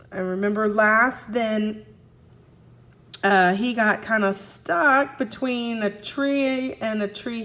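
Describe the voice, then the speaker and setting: A woman teacher reading a story aloud to young children, expressively, pausing to recap and ask the listeners questions. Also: on the high side. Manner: expressive, asks listeners questions and answers for them. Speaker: first grade teacher.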